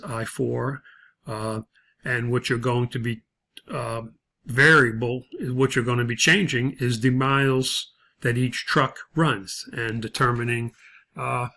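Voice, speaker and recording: A man giving a step-by-step tutorial as an instructor, speaking haltingly with frequent pauses and hesitations. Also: mid-range voice, fairly bright tone. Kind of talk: spreadsheet tutorial, explaining step by step.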